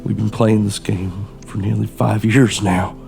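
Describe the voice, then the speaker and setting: A man's voice: lowish voice, little pitch movement, a little slow, gravelly, mellow, faint music behind. A man playing a weary, embittered, protective father of a sick child in an audio drama, intense and emotional. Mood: bitter, angry. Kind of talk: dramatic audio play acting.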